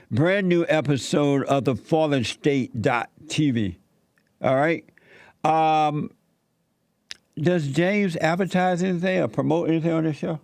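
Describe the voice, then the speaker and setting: A man's voice: fairly high pitch, creaky, a little mellow, dry recording. A man filling in as host of a call-in talk show, chatting casually and breaking off into tangents with callers. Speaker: fill-in radio show host.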